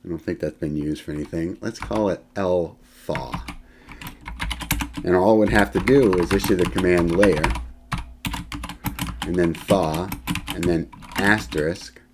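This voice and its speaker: A male college instructor giving a screen-recorded software lecture, talking in a relaxed way as he demonstrates and thinks aloud, with fillers and self-corrections. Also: low-pitched, a little slow, long pauses, background noise. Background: keyboard typing.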